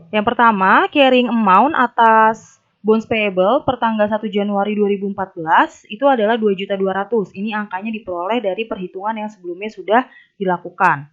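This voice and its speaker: A young adult woman giving methodical, step-by-step instructional narration as a tutor. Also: mid-range voice. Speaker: accounting tutor. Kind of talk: instructional narration of a worked problem. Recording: dull, lo-fi voice recording.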